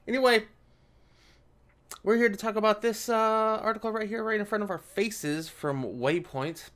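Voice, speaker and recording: Male video commentator, pitched a bit above average. Informal, sarcastic, quick to argue with the text he reads out. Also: pushed and bright. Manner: sarcastic, argumentative asides. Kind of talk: casual reaction commentary while reading an article aloud.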